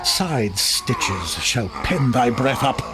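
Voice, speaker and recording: A man's voice: medium pitch, lively intonation, heavy background noise. A man playing a stern, wrathful magician master, declaiming Shakespearean verse in a threatening, commanding way. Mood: menacing. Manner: declamatory, measured.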